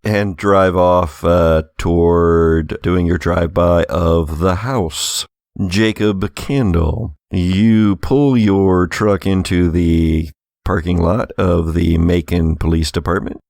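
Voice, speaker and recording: A man narrating a tabletop game as game master in a measured, descriptive way. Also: sits low, steady tone, gravelly, a little mellow, no room sound, clean studio sound.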